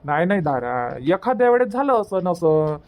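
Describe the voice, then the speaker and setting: A man's voice: high-pitched, very animated, moderate pace, a little mellow. A man playing a placating, argumentative village husband in a cartoon comedy, in colloquial Varhadi Marathi, repeating himself to make his point. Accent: Varhadi Marathi from Vidarbha.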